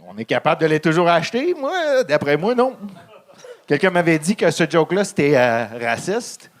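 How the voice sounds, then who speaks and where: Young anglophone guy, Quebec accent, deadpan dark stand-up, slightly high voice, hesitant delivery. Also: a crowd in the background. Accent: English-speaking Quebecer speaking French. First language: English. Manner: halting with many ums, deadpan dark jokes. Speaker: stand-up comedian. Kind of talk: stand-up set.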